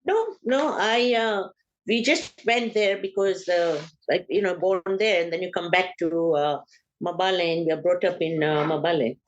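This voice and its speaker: An older woman from Uganda, a family elder being interviewed, talking in an unhurried, hesitant way with frequent pauses. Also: fairly low pitch, highs cut off, a little hiss or hum.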